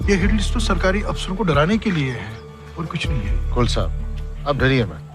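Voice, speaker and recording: A man's voice: on the high side, sing-song, fairly quick, over music. Older, reassuring neighbour man. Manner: reassuring, dismissive of danger.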